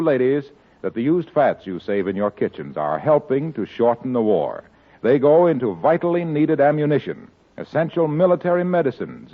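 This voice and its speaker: A man announcing and reading sponsor messages on a 1940s radio comedy show, in an upbeat sales pitch. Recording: tinny old radio broadcast, faint music.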